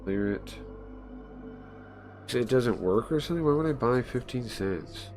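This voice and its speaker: A man's voice: medium pitch, animated, measured pace, a little mellow, soft background music. A man livestreaming casually, thinking aloud, muttering to himself and repeating phrases as he goes.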